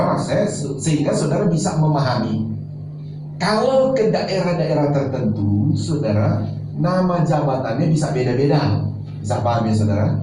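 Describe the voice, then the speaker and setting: A man preaching a sermon as pastor, teaching and putting questions to the congregation. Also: on the high side. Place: large church hall. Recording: echoey church, far from mic, noisy.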